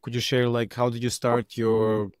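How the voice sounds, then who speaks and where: A man hosting an interview podcast, relaxed and joking, often laughing with his guest. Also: mid-range voice, normal speed, smooth, no echo, clean studio sound. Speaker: podcast host. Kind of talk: casual interview chat.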